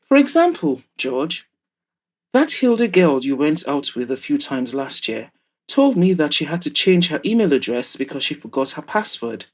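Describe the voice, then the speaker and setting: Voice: mid-range voice, big pitch swings, normal speed. Audio drama role: plain-spoken husband read by a narrator, matter-of-fact, candid friend, mid-range voice. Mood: frank, teasing. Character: matter-of-fact married man, frank friend. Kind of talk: audiobook-style character dialogue.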